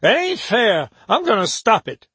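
A man's voice: high-pitched, huge pitch swings, a little slow, sharp tone, breathy edge, no echo, voice pushed up, exaggerated voice. A man voicing an elderly woman servant who speaks in rural dialect, plain-spoken and emotional. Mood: complaining. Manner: rural dialect grammar, wailing.

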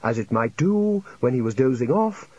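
A man voicing a calm, rambling old academic wizard in an audiobook, slow and given to reminiscing. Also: mid-range voice, highs cut off.

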